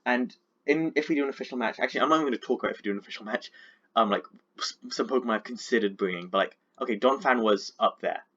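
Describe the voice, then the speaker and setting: A man's voice: medium pitch, racing, fairly bright tone, lo-fi sound. A man with a sore throat from being sick, chatting casually and rambling with lots of fillers as he breaks down his team. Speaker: competitive Pokemon league coach.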